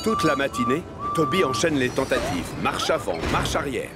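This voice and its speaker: A man's voice: medium pitch, big pitch swings, normal speed, natural voice. A man narrating a children's train cartoon as its storyteller, in a scripted style. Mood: storytelling. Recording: loud music over the voice.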